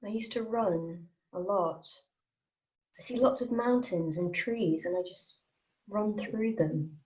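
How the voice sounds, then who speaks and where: A guarded, secretive woman played by a woman in a dramatic table read. She speaks seriously and with measure, keeping a middling pitch, like someone keeping a small group in line. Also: takes it slowly, darkish tone, lightly acted, rough recording. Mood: reflective.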